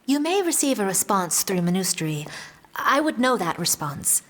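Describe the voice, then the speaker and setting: A woman's voice: medium pitch, sing-song, fairly bright tone. Guarded, earnest young noblewoman. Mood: grateful, guarded. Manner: earnest, measured, formal phrasing. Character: guarded, anxious young noblewoman hiding her troubles. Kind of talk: fantasy audio drama acting.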